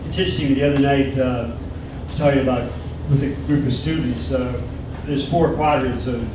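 A man's voice: medium pitch, fairly even intonation, moderate pace. Older man hosting, very echoey room. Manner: conversational questioning. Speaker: interviewer, host. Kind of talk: live stage interview. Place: small event room with a live audience. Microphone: handheld mic. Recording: very echoey room with noise, from a distance.

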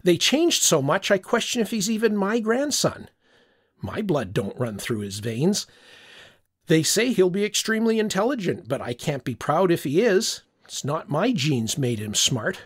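A man's voice: high voice, sing-song, moderate pace, slightly rough. An older man narrating a short story in the first person, reflective and wistful. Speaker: audiobook narrator.

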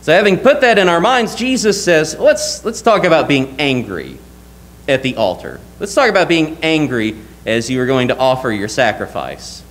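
A man's voice: fairly high pitch, very animated, moderate pace. A young man preaching a sermon from a church pulpit, earnest and emphatic, often asking rhetorical questions. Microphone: lectern mic, wide camera.

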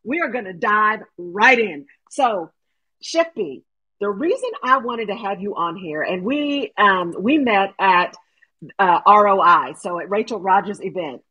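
Breathy, animated woman hosting a video call. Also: mid-range voice, unhurried, highs cut off. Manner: animated, laughing, gestures with hands. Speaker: host. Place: home room.

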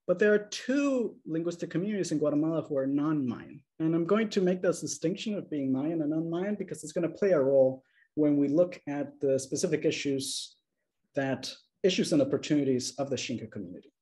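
Male lecturer, 30s, webcam talk, slightly higher pitch, calm explanatory pacing. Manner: measured, explanatory, checks in with "okay?". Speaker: linguistics lecturer. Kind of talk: academic slide presentation. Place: small room indoors. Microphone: video call webcam.

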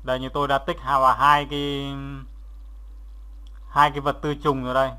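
A man narrating a step-by-step software tutorial as an instructor, in a halting, instructional way, repeating steps as he goes. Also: mid-range voice, level tone, smooth.

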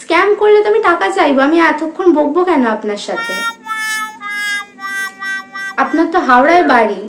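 Young female prank caller, West Bengal Bengali accent, high voice, politely persistent and persuasive, roomy echo. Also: big pitch swings, fast, over music. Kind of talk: staged phone prank conversation.

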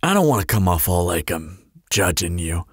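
A man's voice: low voice, big pitch swings, moderate pace, husky, dry recording, studio quality, full character voice. A man playing a flirtatious, sardonic vampire in a roleplay audio drama, talking in a glib, teasing, playful drawl. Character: flirtatious, sardonic male vampire toying with a slayer.

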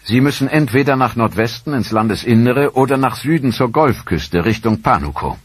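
A man narrating a scripted historical documentary in a measured, dramatic storytelling manner. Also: medium pitch, animated, husky, dry recording.

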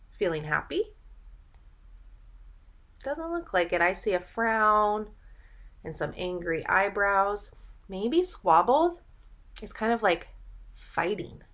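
A young woman reads a picture book to children at an unhurried pace. She enunciates deliberately and tells the story expressively, like a teacher guiding little listeners. Her voice sits at a medium pitch. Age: young adult. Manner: animated storytelling, deliberate enunciation, audible gasps. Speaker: speech and language teacher reading aloud. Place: small furnished room at home, indoors.

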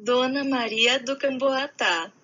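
A text-to-speech woman voice slowly pronouncing names. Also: high voice, bright, forceful tone, muffled recording, full character voice. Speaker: text-to-speech name reader. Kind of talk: synthetic pronunciation of names.

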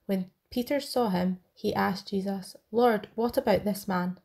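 A woman's voice: medium pitch, measured pace, creaky, a little mellow. A woman reading a Bible passage aloud as a scripture reader.

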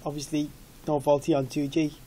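A man in his thirties explains a technical product as he demonstrates it on screen. His voice sits a little high for a man and has a very mellow, relaxed tone. He is informal, explanatory and matter-of-fact. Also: brisk, slight background noise. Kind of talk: informal screen-share tech walkthrough.

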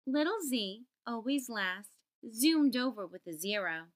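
High-voiced woman reading slowly to children. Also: lively intonation. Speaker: storytime host. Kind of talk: children's picture book read-aloud.

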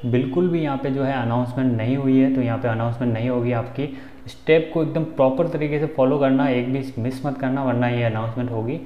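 A man's voice: mid-range voice, steady tone, brisk. A man in his twenties with an Indian accent gives a casual, informal step-by-step phone tutorial as host, often checking that the listener follows. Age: 20s. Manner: informal, instructional, frequent check-in tags. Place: small indoor room. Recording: roomy echo, dull sound, faint music.

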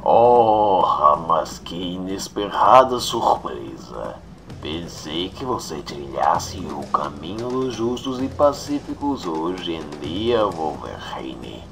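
A mocking skull-faced despot from a comic dub, acted by a man. He is very deep and unhurried, theatrically menacing, with clear music behind him. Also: sing-song, exaggerated voice. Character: sneering, gloating villain tyrant, a masked skull-faced supremacist ruler. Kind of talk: motion comic fan dub acting. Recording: music under the voice.

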